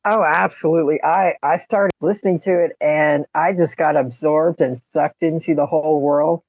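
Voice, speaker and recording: A woman's voice: low voice, fairly even intonation, moderate pace, darkish tone, no room sound. A woman co-hosting a podcast in casual, emphatic chat, recorded on a slightly dull, dry microphone. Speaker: podcast co-host.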